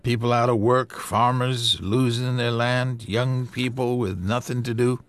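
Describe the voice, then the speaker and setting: A man's voice: mid-range voice, fairly even intonation, measured pace, no echo, natural voice. A man voicing a young man asking pointed, challenging questions. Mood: calm.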